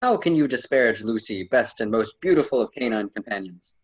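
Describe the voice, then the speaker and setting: A man's voice: medium pitch, lively intonation, a little fast, husky. A man playing a patient ancient Roman poet and mentor in a radio drama, speaking in formal, old-fashioned phrases. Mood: grave, instructive. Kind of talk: theatrical radio drama dialogue.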